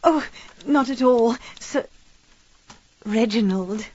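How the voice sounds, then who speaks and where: Prim, high-voiced secretary. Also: female voice, slow pace, a little mellow. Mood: flustered, deferential. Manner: formal, polite, proper. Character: prim, deferential female publisher's secretary. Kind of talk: radio mystery drama acting. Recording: dull band-limited audio with music.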